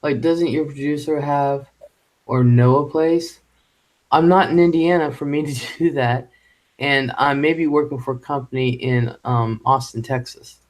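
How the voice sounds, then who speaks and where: A man's voice: mid-range voice, steady tone. Rambling man hosting a casual live chat. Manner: halting and rambling, with many fillers. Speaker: live stream host. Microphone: home microphone.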